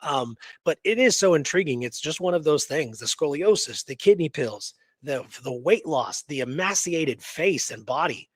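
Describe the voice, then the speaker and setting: Musing man in casual talk, higher-pitched, dry sound. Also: expressive, normal speed, few pauses, slightly rough, no echo. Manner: musing, poses rhetorical questions and seeks agreement with tags. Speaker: co-host of a history radio show. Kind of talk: casual conversational discussion of a historical mystery.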